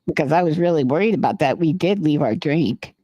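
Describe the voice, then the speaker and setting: A man's voice: a little high, lively intonation, brisk, mellow, dry recording. A man co-hosting a podcast, telling a long rambling anecdote in casual chat and swearing freely. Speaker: podcast co-host. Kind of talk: casual storytelling.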